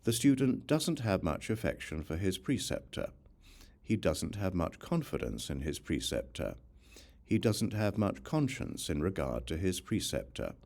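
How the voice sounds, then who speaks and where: Very low-voiced male audiobook narrator, expressive. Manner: measured, formal recitation. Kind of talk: scripted narration of a scripture translation.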